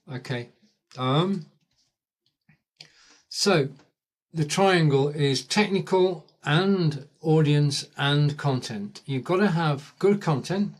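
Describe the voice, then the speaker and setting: Chatty Lancashire man hosting a live stream. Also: a little high. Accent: Northern English, Lancashire. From Lancashire, England. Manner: chatty, thanks viewers by name, rambling asides. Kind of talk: casual live-stream chat. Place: home in rural England.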